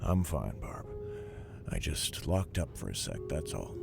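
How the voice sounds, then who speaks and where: Guy playing gruff tired cop husband in an audio drama, real low voice, dry sarcasm, music behind. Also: big pitch swings, a little slow, full character voice. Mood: tired, tense. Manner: dry, sardonic. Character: weary, gruff police detective, devoted husband. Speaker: voice actor. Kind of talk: audio drama acting and first-person narration.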